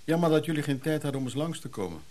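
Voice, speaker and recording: An actor playing a glib middle-aged brother in a radio play, breezily brushing off whatever is put to him. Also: male voice, a little high, expressive, rapid-fire, dark tone, slight background noise, lightly acted. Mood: chatty. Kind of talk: naturalistic Dutch radio play acting.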